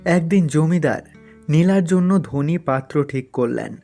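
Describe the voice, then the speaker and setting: A man's voice: on the high side, measured pace, mellow, soft background music. A man narrating a scripted cartoon folk story as its storyteller.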